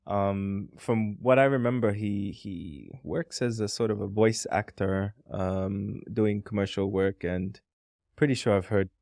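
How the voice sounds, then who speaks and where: Low-voiced, musing podcast co-host. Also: male voice, fairly even intonation, a little slow, creaky, a little mellow, no room sound, clean studio sound. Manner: thoughtful, rambling with many "um"s. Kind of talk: casual podcast conversation.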